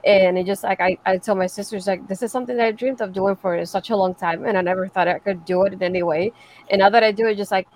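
A woman's voice: mid-range voice, steady tone, quick pace, a little mellow, slight background noise. A woman from Puerto Rico speaking earnestly and emphatically in a casual podcast panel chat.

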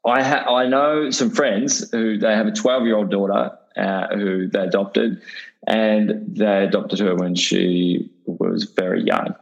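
A man hosting a casual podcast interview, with a fairly low voice. He sounds eager and curious. He often stumbles and restarts his sentences with fillers. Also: fairly even intonation, creaky, lo-fi sound. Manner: enthusiastic, halting with fillers and restarts. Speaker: podcast host.

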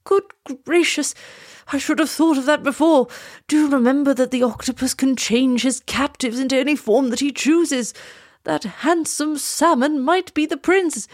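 A woman's voice: high-pitched, moderate pace, no room sound, clean studio sound, voice pushed up, natural voice. A woman voicing an alarmed, dramatic king in a children's storybook, exclaiming in horror. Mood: horrified. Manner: exclamatory, horrified.

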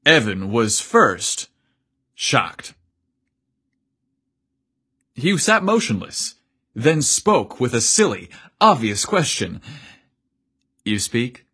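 A man reads a story aloud in a measured, descriptive way. His voice has a husky, breathy grain and sits in a middle register. The delivery is that of scripted narration. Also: medium pitch, very animated, bright, forceful tone.

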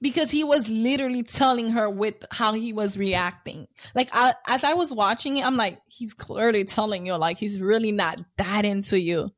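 A woman co-hosting a casual podcast chat, often echoing the others and adding quick agreement. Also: mid-range voice. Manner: frequent agreeing interjections, echoes others' words. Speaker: podcast co-host.

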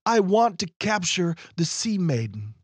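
Man doing a high, urgent, bold ferryman. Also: big pitch swings, measured pace, slightly rough, no room sound, full character voice. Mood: determined. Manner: loud, urgent calling out. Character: bold ferryman summoning spirits of wind and frost.

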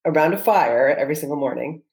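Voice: mid-range voice, animated, fast talker, darkish tone, rough recording. An entrepreneur answering interview questions in an earnest, reflective way, often restarting sentences and using fillers. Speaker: entrepreneur guest.

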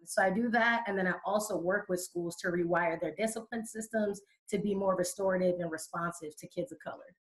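A woman's voice: fairly low pitch, a little fast, hardly any pauses, low-quality recording. A woman from Connecticut, a youth educator, answering interview questions in fluent, conversational storytelling.

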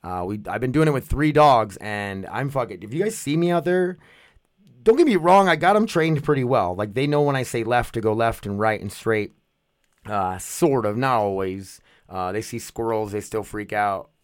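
A man's voice: medium pitch, normal speed, no room sound, studio quality. A young man, rambling casually to his viewers, often restarting and laughing at himself. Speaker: vlogger.

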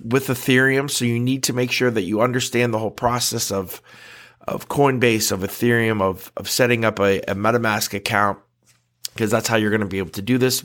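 A man's voice: on the low side, fairly bright tone. Man, podcast host, nasal from a cold, casual talk, fillers. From United States. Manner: conversational, frequent fillers and restarts.

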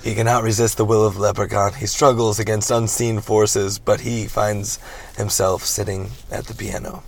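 A man's voice: lowish voice, flat delivery, moderate pace, vocal fry. A man playing a dramatic movie narrator, reading scripted stage directions. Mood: measured drama.

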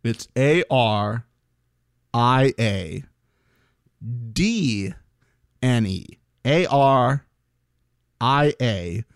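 A man's voice: medium pitch, expressive, very slow, pauses often, clean tone, no room sound, studio quality. A man co-hosting a casual podcast, joking and swearing freely. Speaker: podcast co-host. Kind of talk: casual chat.

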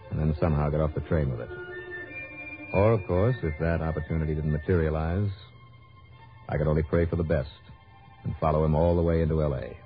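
An actor playing a cool, wry private investigator with a dry, easygoing manner in an old radio drama. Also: male voice, very deep voice. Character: cool, wry male private investigator narrating his case. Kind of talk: 1950s-60s radio drama narration and acting. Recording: dull old radio recording, music behind.

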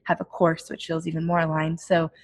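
Chatty lower-voiced woman entrepreneur. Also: completely flat delivery, a little fast, slight background noise. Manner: chatty, many "like" fillers, self-reflective. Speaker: entrepreneur guest. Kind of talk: casual interview talk.

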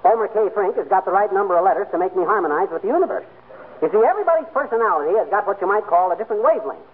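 An actor playing a boastful, stubborn husband with a brash, folksy manner in a 1940s radio comedy. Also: male voice, high voice, lively intonation, rapid-fire, narrow, tinny audio. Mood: indignant, insistent. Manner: brash, folksy, self-important. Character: blustery, stubborn, boastful husband full of schemes. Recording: thin 1940s radio broadcast, background noise.

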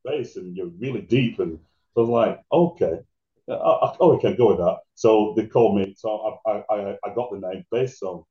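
A man with a deep voice telling stories about his DJ career in a casual interview, rambling with many restarts. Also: normal speed. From England. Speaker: guest DJ. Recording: roomy, dull lo-fi sound.

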